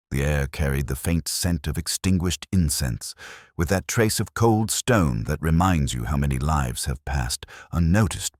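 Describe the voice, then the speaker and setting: A man with an extremely low voice narrates a detective mystery in the first person. His delivery is measured and solemn, with expressive swings in pitch. The recording is dry and close. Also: no echo.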